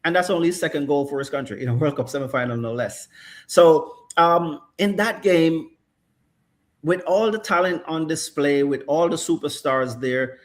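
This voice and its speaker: A man's voice: fairly high pitch, moderate pace. A middle-aged man analysing football in casual chat, thoughtful and checking in with "right?" often. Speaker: football pundit.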